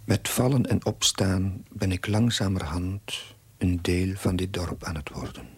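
An actor playing an earnest village chaplain, narrating his diary in a measured, confessional way with a husky, breathy voice. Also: low voice, a little mellow, dry recording, heavily acted. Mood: reflective. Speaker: narrating main character. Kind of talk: scripted diary narration in a TV period drama.

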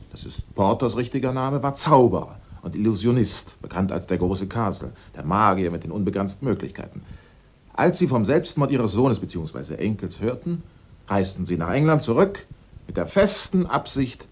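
A man acting a sharp-witted amateur sleuth in a German radio drama, theatrical and assertive as he sets out his case step by step. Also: lowish voice, very animated, normal speed. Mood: triumphant, conclusive.

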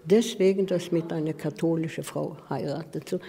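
Older woman, dark voice, halting speech, reverberant. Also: deep voice, steady tone, gravelly. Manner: halting, broken syntax. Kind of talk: personal recollection in interview.

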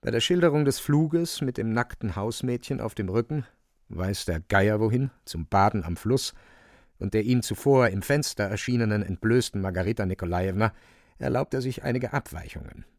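A man narrating a literary radio drama in a measured, precise storytelling manner, close on a studio microphone. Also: fairly low pitch, animated, a little mellow, no echo.

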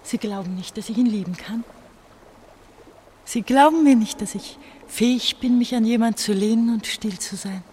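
A woman's voice: fairly high pitch, normal speed, mellow, close to an everyday voice. Radio play role: young East German woman, eighteen, bitter and feverish, brooding delivery by an actress, faint watery noise in the background. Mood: defiant.

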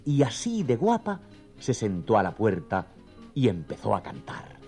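A man narrating a scripted children's story in an expressive, theatrical storytelling manner. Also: lowish voice, measured pace, faint music behind.

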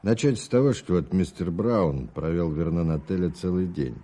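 A man's voice: low-pitched, moderate pace, dark tone. An actor playing an amused, confident man in conversation in a radio play. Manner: amused, assured.

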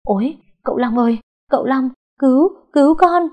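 A woman's voice: fairly low pitch, fairly even intonation, fairly quick, slightly rough, phone-quality sound. A woman voicing a terrified young male servant who stammers in panic.

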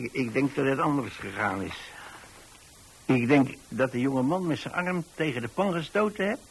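A man's voice: medium pitch, creaky, husky, rough recording. An actor playing a kindly older landlord in a radio monologue, chatty and hesitant as he rambles along. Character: kindly rambling old pensioner landlord, widower-like homebody.

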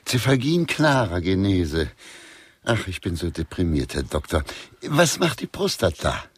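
A man's voice: low-pitched, sing-song, unhurried, breathy edge, dry recording, full character voice. An actor in a radio play playing a morose, needling old literary man with a mock-courteous, probing manner. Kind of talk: German radio play acting.

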